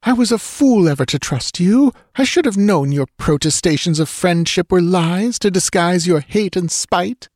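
A proud, defiant young dancer played by a male reader in a fantasy storybook, pitched high. She snaps bitterly and sulkily, with husky breath and lively, swooping intonation. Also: moderate pace, few pauses, dry recording, clean studio sound. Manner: bitter, snapping, sulky.

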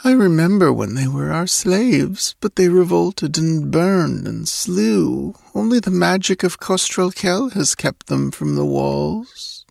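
A man voicing a languid, dreamy, confused young woman in a soft, drowsy murmur for an audiobook story. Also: fairly high pitch, big pitch swings, gravelly, no room sound, clean studio sound, voice pushed up, full character voice. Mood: drowsy, puzzled. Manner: drowsy, languid, drawn-out yawning delivery. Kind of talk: audiobook character acting.

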